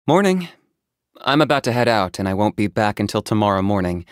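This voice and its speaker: A pragmatic young hunter from a video game, calm and self-contained. His voice sits fairly low and is recorded dry, with a deadpan, sardonic edge and a reserved, matter-of-fact delivery. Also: fairly quick, clear, resonant tone, no room sound, soft background music. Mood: calm, considerate. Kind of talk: video game character voice acting.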